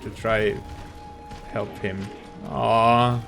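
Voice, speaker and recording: A man giving casual let's play commentary, hesitant, reacting in short, halting bursts. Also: fairly low pitch, little pitch movement, vocal fry, fairly bright tone, faint music behind.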